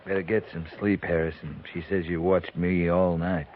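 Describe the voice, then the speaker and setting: Low-voiced American captain, tinny radio. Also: male voice, level tone. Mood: weak, reassuring. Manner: terse, polite, measured. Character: wounded, steady male cavalry captain with quiet authority. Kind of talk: 1950s radio western acting. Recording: tinny, narrow-band 1950s radio recording.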